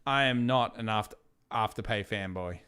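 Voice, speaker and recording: A man's voice: on the low side, normal speed, bright, forceful tone, clean tone. A man with an Australian accent hosting a live market analysis stream, talking casually, reading out viewer questions, and wearing out his voice after hours of talking. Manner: casual, rambling, reads viewer questions aloud. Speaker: trading channel host.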